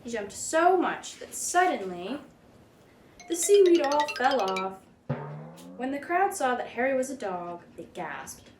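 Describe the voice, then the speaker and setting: A woman reading a children's picture book aloud in an expressive, dramatic storytelling manner. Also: high voice, unhurried, music in the background. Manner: animated, dramatic read-aloud delivery. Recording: roomy echo, background noise.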